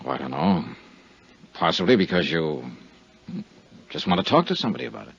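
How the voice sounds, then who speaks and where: A hard-boiled male insurance investigator with a dry, wry way of talking. His voice sits low and gravelly but moves a lot in pitch. It comes through a muffled 1950s radio broadcast. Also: big pitch swings, fairly quick, a bit bright, full character voice. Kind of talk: 1950s radio drama acting.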